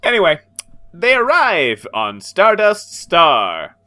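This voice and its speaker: A man's voice: high-pitched, slow pace. A man co-hosting a comedy podcast, narrating an old comic with frequent laughter. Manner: laughs often, animated narrator. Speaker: podcast co-host. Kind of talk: comedic dramatic reading and banter.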